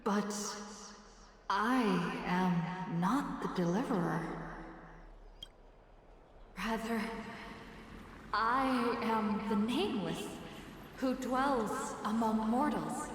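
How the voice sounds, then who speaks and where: Solemn game goddess, super slow halting words, huge echo, noisy ambience. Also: female voice, on the high side. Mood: solemn, serene. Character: solemn, ethereal nameless goddess speaking humbly. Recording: cavernous echo, long reverb, background ambience noise.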